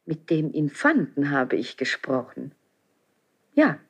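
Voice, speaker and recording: An actress playing a proud, dignified queen in a 1970s German radio play, speaking measured, formal verse with defiance. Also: sits low, sing-song, slightly rough, full character voice. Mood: proud, defiant. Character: proud, dignified young queen, defiant before her husband.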